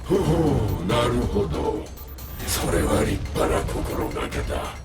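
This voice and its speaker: A man's voice: mid-range voice, very animated, slow pace. An actor playing a haughty, cruel dragonkin man who scorns humans, pompous and sneering, in an anime game. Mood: mocking menace. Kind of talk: Japanese anime game voice acting. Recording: loud music bed, sounds distant.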